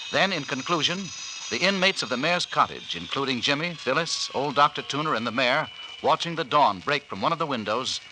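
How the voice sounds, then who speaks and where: A man narrates a radio serial in a theatrical, solemn 1940s manner. His pitch moves in wide, animated sweeps. The old broadcast recording is clearly noisy. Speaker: announcer and narrator.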